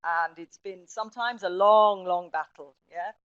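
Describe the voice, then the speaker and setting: A woman's voice: medium pitch, unhurried, fairly bright tone, no echo, highs cut off. A woman giving a conference presentation, speaking in a friendly way and addressing the audience directly. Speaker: academic speaker.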